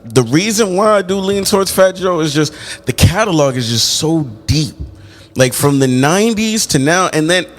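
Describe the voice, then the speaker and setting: Co-host, man, bouncy lively pitch, quick interjections. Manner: animated, quick interjections, laughs. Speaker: podcast co-host. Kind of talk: casual podcast debate.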